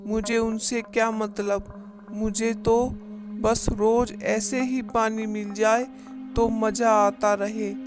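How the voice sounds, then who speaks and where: Slow menacing witch played by a woman, music behind. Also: a little high. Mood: gloating. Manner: slow, threatening, cackling. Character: menacing female witch demanding water, gloating. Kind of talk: Hindi horror cartoon voice acting. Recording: loud music under the voice.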